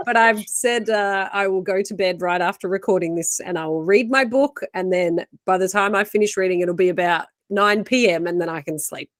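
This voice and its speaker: A woman's voice: mid-range voice, moderate pace, hardly any pauses, dry recording, studio quality. A woman co-hosting a podcast, chatting casually in a playful, teasing way with frequent laughs. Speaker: podcast co-host. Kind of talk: casual chat.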